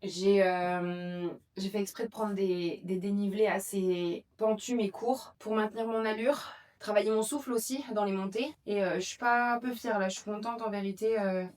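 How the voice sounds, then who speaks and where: A young woman talking straight to her viewers in a relaxed vlog. She rambles along with plenty of hesitations and fillers, at an ordinary mid pitch. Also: normal speed. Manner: chatty, rambling with many fillers. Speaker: vlogger. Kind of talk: casual vlog chat to camera.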